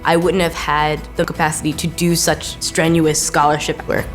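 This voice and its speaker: A woman's voice: low-pitched, level tone, moderate pace. A woman, a graduating student, speaking confidently in a testimonial. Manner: confident, ambitious. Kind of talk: interview testimonial. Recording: music bed underneath.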